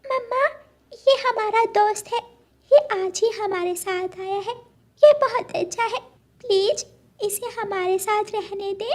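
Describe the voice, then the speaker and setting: A woman's voice: very high-pitched, measured pace, pitched above the performer's own voice. A woman voicing a sweet, eager little girl bird in a cartoon story, with a childlike, pleading way of talking. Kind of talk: Hindi cartoon story dubbing.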